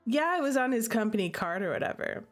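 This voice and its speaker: A woman's voice: a little high, sing-song, fast talker, faint music behind. A woman giving casual livestream commentary, chatty, playful and gushing, punctuating her points with emphatic check-ins to her chat. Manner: emphatic, repeats "okay" and "listen" as tags. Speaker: game streamer reacting with live chat.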